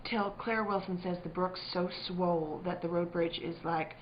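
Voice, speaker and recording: A woman's voice: fairly low pitch, steady tone, normal speed, lightly acted. A woman playing a practical, scolding, protective rural widow with folksy, fussing speech in an old-time radio drama. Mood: brisk, indignant. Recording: muffled live stage recording.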